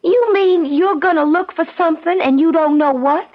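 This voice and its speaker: High-voiced feisty frontier woman. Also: normal speed. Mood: skeptical. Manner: sharp-tongued, challenging, plain-spoken. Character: feisty, protective, impatient young frontier woman. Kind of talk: old-time radio drama acting. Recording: dull lo-fi radio drama recording.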